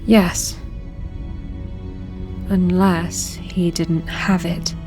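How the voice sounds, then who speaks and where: A woman playing a resolute, war-weary young princess in a fantasy audio drama, measured, formal and earnest. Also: on the low side, little pitch movement, music in the background. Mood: commanding.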